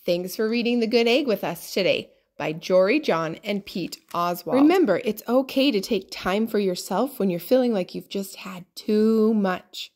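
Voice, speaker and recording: A woman hosting a children's read-aloud, addressing young listeners expressively with drawn-out emphasis. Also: mid-range voice, darkish tone. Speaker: children's story time host.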